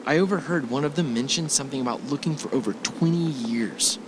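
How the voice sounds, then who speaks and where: A man's voice: medium pitch, brisk, natural voice. Anxious, caring husband male amateur actor, mid-range voice, hesitant, noisy recording. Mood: subdued, tender. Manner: hesitant, reassuring. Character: worried, supportive husband on a spooky vacation. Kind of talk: amateur audio drama acting.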